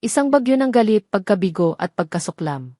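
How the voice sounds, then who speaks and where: A woman's voice: medium pitch, big pitch swings, fairly quick, warm tone, no echo, clean studio sound. A woman narrating a dramatic romance story from a script.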